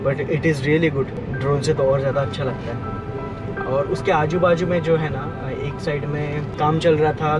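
A young man vlogging on a road trip, talking excitedly to a handheld camera outdoors and from a car. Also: fairly high pitch, low-quality recording. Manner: excited, chatty, mixing Hindi and English. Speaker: travel vlogger. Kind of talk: casual on-camera travel chat. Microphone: handheld camera at arm's length.

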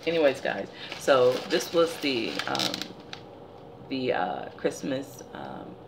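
A woman in her forties with a deep, gravelly, tired-sounding voice, chatting casually as a vlogger in her living room. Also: slow, noisy background. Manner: chatty, animated storytelling. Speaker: beauty vlogger. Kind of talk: casual product haul chat. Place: living room by window blinds, indoors.

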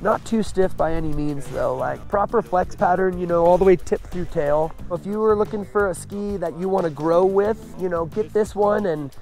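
A man's voice: sits high, moderate pace, vocal fry, hardly any pauses, a little mellow. A man talking informally and conversationally as he gives a casual ski review. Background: music.

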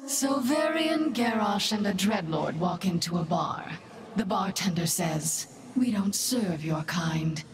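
An actress voicing a sly, haughty dreadlord demoness for a video game, with menacing, sardonic wit and a breathy delivery that often sinks toward a whisper. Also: female voice, mid-range voice, lively intonation. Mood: wry, gloating. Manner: slow, deliberate, theatrical, sardonic. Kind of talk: video game character voice lines. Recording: echoing voice with game ambience noise.

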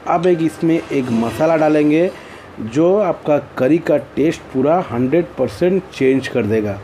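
An Indian-accented man hosts a step-by-step cooking tutorial in a soft, mellow tone. His delivery is plain and instructional, circling back to the same phrases. Noticeable background noise sits behind his voice. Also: fairly high pitch, lively intonation, a little slow. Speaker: cooking channel host. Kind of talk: recipe tutorial narration.

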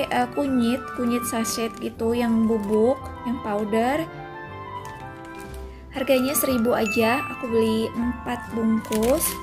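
A woman vlogger chatting casually through a grocery haul, often pausing to recall prices. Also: fairly high pitch. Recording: voice-over with clear background music.

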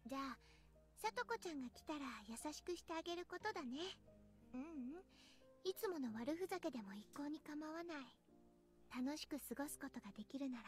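Gentle teen schoolgirl for visual novel: high-pitched, cute, earnest, music underneath. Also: expressive, racing. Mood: kindly reassuring. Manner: soft, cutesy, refers to herself by name. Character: gentle, sweet teenage schoolgirl, earnest and cheerful. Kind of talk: Japanese anime visual novel acting.

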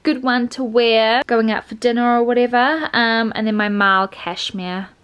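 A woman's voice: on the high side, fairly even intonation, moderate pace, fairly bright tone, clean tone, muffled recording. A woman, chatting casually to camera in her bedroom, bubbly and full of ums and likes. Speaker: vlogger. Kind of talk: casual chatty vlog talk. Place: bedroom at home, indoors.

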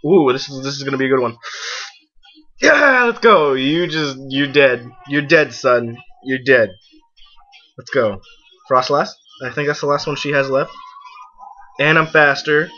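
A young man giving casual, excitable let's play commentary, exclaiming and talking to the game and himself. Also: mid-range voice, a little slow, pauses often. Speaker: gaming video host. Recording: muffled lo-fi mic, game music behind. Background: video game music.

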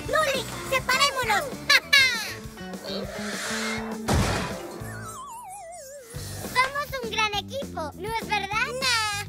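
Exuberant little cartoon kid, very high pitch, swooping delivery, giggly. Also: sing-song, extremely slow, long pauses, heavy reverb, far from the mic, loud music behind the voice, heavily acted. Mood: gleeful. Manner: exuberant, gushing. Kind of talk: animated children's cartoon dub.

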